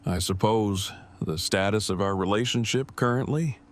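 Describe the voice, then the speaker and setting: Earnest, wordy boyfriend for romantic audio drama, male actor: lowish voice, stiffly formal and precise phrasing. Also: moderate pace, lightly acted. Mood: earnest, content. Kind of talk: fan audio drama acting.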